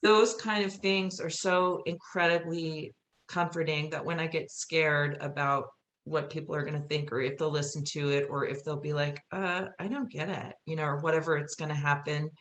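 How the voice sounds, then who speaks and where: A woman's voice: sits low, fairly even intonation, moderate pace, fairly bright tone. A woman podcast guest chatting casually and reflectively, earnest and circling back over phrases for emphasis. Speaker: podcast guest, theatre creator. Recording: roomy echo with faint hiss.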